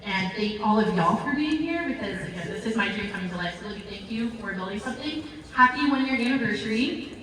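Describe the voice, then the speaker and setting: A woman introducing a live panel as a nonprofit founder, rambling and excited with many fillers and self-corrections. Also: mid-range voice, fast, background noise. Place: large event hall with audience. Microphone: handheld mic, heard from a distance. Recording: echoey hall recorded from far off.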